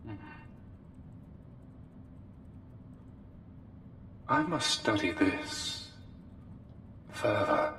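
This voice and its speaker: In a reverberant hall with music behind, a man voices a courtly, intense male plague doctor, something not quite human. He speaks low and very slowly in formal, old-fashioned phrases with careful pauses, as intimate ASMR roleplay. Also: pushed and bright, full character voice. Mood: flustered, fascinated. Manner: formal, archaic wording, hesitant pauses, deliberate.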